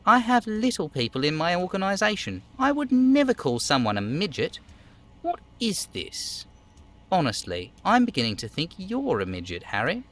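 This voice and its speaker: A man voicing an overweight union boss in a video game, falsely chummy, smooth and theatrically indignant. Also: high voice, wildly swooping pitch, moderate pace, a bit bright, pitched above the performer's own voice, exaggerated voice. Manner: smooth, theatrical, over-familiar.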